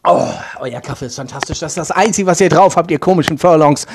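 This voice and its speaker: A man playing a loud, vulgar, domineering troublemaker in an improvised comedy sketch, brash and foul-mouthed, mixing English words into his slang. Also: a little high, quick pace, background noise, voice pushed down, close to an everyday voice. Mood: smug. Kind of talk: improvised comic audio sketch in slangy speech.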